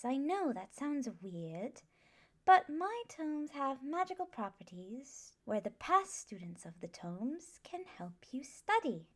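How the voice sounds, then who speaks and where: A woman acts out a gentle, scholarly female professor of magic in an ASMR classroom roleplay. Her voice sits fairly high and she speaks very slowly. She is patient and reassuring, instructing her new students with measured care. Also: heavily acted.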